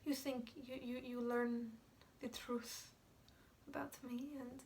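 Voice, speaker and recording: High female voice, actress playing guilt-ridden Polish woman, Polish accent, halting film-drama confession, whispery, fragile, distant mic with faint noise. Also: flat delivery, a little mellow. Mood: hesitant, sorrowful. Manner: halting, stammering, breathy whispers. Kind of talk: dramatic film monologue, amateur.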